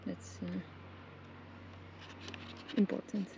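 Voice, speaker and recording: A woman presenting a casual hands-on tutorial, giving simple step-by-step instructions with hesitant pauses. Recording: clearly noisy background with clicks.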